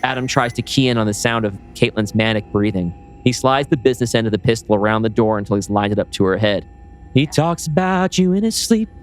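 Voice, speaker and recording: A man's voice: on the low side, steady tone, quick pace, hardly any pauses, clean tone. A man reading screenplay action as scripted narration, with a dramatic, suspenseful delivery over music. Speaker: podcast host reading his script.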